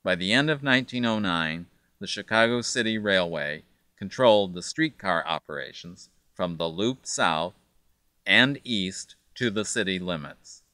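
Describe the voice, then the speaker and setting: A man reading scripted documentary narration in a measured, factual way. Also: sits low, animated, pushed and bright, no echo.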